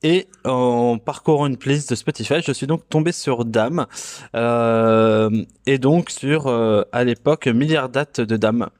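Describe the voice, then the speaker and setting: A man hosting a casual solo podcast, talking in a relaxed, self-mocking way and pausing often with fillers and restarts. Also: mid-range voice. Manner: hesitant, full of filler sounds and restarts. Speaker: podcast host. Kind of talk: casual solo podcast chat about music.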